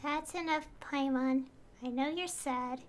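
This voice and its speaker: A woman's voice: high voice, measured pace. A woman game streamer giving casual live commentary as she plays. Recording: loud game music behind.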